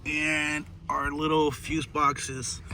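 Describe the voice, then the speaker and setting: A man giving a casual, halting hands-on tutorial to viewers, thinking aloud as he works. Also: on the high side, flat delivery, bright, forceful tone. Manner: informal, halting, thinking aloud while working. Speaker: host of a DIY car installation video. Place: in or beside a car. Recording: clear background noise, vehicle ambience.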